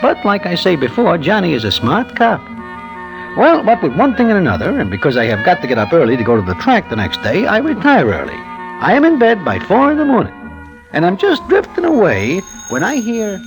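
An actor playing a streetwise New gambler sidekick who narrates in stiff formal slang, in an old radio drama style. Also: male voice, sits high, huge pitch swings, a little fast, full character voice, low-quality recording. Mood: wry, reflective. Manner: stiff formal slang, present tense, no contractions. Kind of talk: 1940s-50s radio drama narration and acting. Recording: old radio recording with background music.

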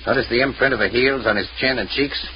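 An actor playing a calm, shrewd male police inspector who questions in a measured, dry way, in 1940s radio style. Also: mid-range voice, close to an everyday voice. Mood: probing. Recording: muffled, lightly noisy vintage radio recording.

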